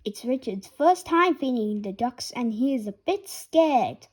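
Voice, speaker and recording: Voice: mid-range voice, lively intonation, a little slow. A person reading a children's storybook aloud, word by word. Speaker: reader of a children's picture book.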